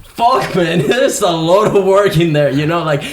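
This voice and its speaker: A man's voice: high voice, measured pace, slight background noise. A young man with a Venezuelan Spanish accent, a native Spanish speaker, chatting casually and informally as a podcast host. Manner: informal, animated slangy talk with swearing. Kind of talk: casual chat.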